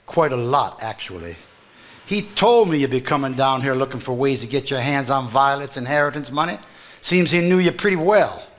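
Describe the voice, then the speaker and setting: An actor playing an unflappable small-town lawyer on stage, smug and courtly, with a Southern American accent. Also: male voice, medium pitch, expressive, moderate pace, natural voice. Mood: smug, knowing. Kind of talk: live stage play acting.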